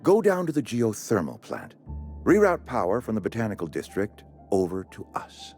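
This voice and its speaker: A pompous administrator, swooping pitch. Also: male voice, wildly swooping pitch, moderate pace, a little mellow, faint music behind. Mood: emphatic, instructive. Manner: formal, wordy, officious. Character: pompous, courteous male company outpost administrator. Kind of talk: video game character acting.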